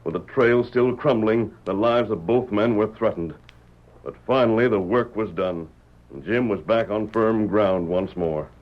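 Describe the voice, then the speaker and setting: An actor playing a calm, commanding western hero who tells a tale in measured, deliberate radio-drama style. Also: male voice, lowish voice, lightly acted. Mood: grave, steady. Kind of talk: old-time radio drama acting. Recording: dull vintage radio recording.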